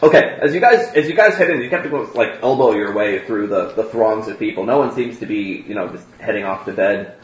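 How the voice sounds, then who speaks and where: A man running a tabletop game as game master, talking in a halting way with many restarts. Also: lowish voice, lively intonation, a little hiss or hum. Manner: halting, full of fillers and restarts. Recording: dull, echoey room recording.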